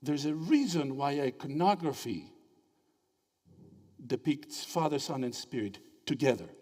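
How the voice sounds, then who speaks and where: Animated man lecturing in a hall. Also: medium pitch. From Greece. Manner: animated, quizzes the audience with quick questions. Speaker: theology speaker at a symposium. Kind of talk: interactive lecture. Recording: reverberant hall.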